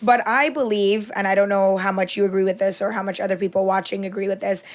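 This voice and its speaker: A young adult woman hosting a podcast, talking in an earnest, reflective way, on a studio boom mic in a small room. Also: level tone, fast. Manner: earnest, reflective, filler-heavy. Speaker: podcast host. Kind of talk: casual conversation. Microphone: studio mic on boom arm, headphones.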